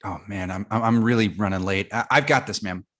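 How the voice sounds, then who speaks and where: A man playing an eager, apologetic office worker, stammering and excitable, in a script read. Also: on the low side, brisk, lightly acted. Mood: polite, hurried. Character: jittery, eager young male office worker, energized and apologetic. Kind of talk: screenplay table read.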